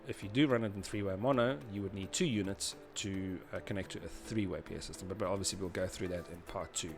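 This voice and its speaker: A man presenting an informal audio engineering tutorial, explaining step by step in a halting way and often rephrasing himself. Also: on the low side, gravelly, a little hiss or hum. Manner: hesitant, halting delivery with repeated rephrasing. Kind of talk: informal explanatory tutorial narration over diagrams.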